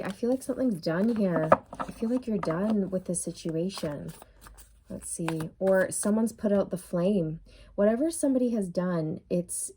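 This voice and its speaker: A woman's voice: on the low side, dark tone, slightly rough. A woman doing a casual tarot reading who muses aloud with many fillers, saying she is losing her voice. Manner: musing, unhurried, many fillers. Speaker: tarot reader.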